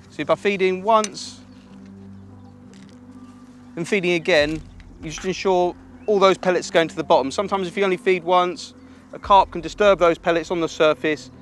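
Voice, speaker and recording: A young man coaching listeners through an angling method in a relaxed, explanatory way, speaking partly as a voice-over. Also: fairly high pitch, a little hiss or hum. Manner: relaxed explanatory, practical tips. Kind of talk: instructional voice-over and commentary. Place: outdoors at a lake.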